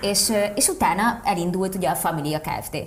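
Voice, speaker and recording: A woman's voice: fairly low pitch, a little hiss or hum. A woman casually telling a personal anecdote in conversation. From Hungary. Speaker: participant telling a childhood anecdote.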